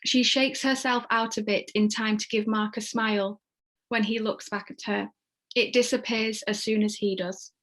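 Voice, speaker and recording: A woman's voice: mid-range voice, fairly even intonation, moderate pace, fairly bright tone. A woman reading stage directions as the narrator of a screenplay, in scripted narration.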